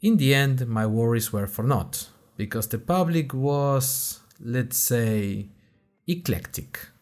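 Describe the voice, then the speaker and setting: A man's voice: medium pitch, animated, slow, darkish tone, faint music behind. A man playing a wry college freshman who narrates his audio diary in a dry, conversational podcast style with hesitant asides. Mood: self-deprecating. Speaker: podcast host character.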